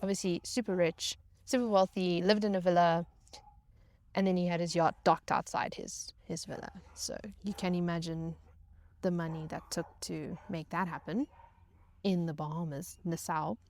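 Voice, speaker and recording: A woman vlogger telling personal stories casually, in a halting, chatty way with wry exaggeration. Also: on the low side, steady tone, darkish tone. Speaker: vlog host telling stories.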